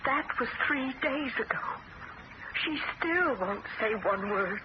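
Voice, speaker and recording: A woman's voice: on the high side, animated, slow pace, noisy background. Distraught mother, muffled old radio. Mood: grave, frightened. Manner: pleading, dramatic. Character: distraught, anxious middle-aged widowed mother. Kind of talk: vintage radio drama acting.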